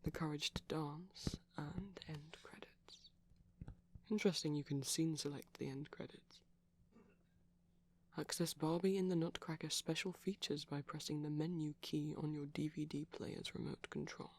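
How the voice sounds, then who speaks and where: Gentle, bashful vampire boyfriend voiced by a man for intimate ASMR audio; breathy, creaky, halting, half-whispered delivery. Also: fairly high pitch, steady tone. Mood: musing, absorbed. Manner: hesitant, soft-spoken, often slipping into whispers. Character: shy, gentle pastel vampire boyfriend, soft and sweet. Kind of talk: ASMR roleplay, soft intimate rambling.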